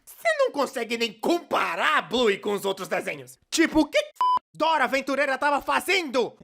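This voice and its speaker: A narrator with a Brazilian accent gives scripted cartoon commentary in an expressive, conversational, comically emphatic way. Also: mid-range voice. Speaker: narrator of a cartoon opinion video. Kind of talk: scripted animated commentary video narration, fan dub.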